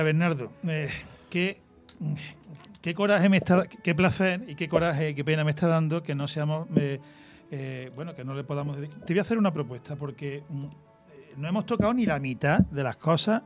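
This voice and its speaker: A man hosting a radio talk show, reacting with enthusiasm and filling his speech with little tags and hesitations. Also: a little high. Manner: effusive, fills pauses with "eh" and "¿no?". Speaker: radio host.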